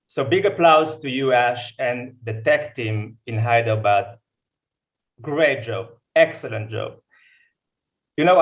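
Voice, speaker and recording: A man with an Israeli accent giving an emphatic testimonial on a video call. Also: medium pitch. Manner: emphatic, non-native phrasing. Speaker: webinar participant. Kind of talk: enthusiastic testimonial on a webinar. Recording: distant mic on a video call.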